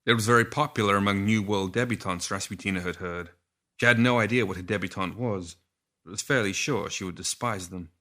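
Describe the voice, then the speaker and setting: Male audiobook narrator for fantasy fiction, low register, bright forceful delivery. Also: a little fast. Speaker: story reader.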